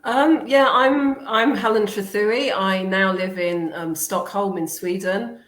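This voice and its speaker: A woman's voice: medium pitch, steady tone, measured pace, fairly bright tone. A woman from England, in her fifties, speaking as an interview guest and teacher, thoughtful and hesitant with many ums. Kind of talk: casual interview talk. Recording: echoey room with faint noise.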